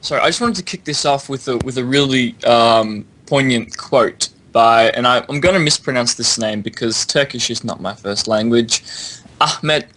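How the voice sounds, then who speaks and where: A man leading a panel discussion in a formal, articulate and measured way, as if presenting prepared material. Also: medium pitch, a bit bright, poor audio. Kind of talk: panel discussion, reading prepared text aloud.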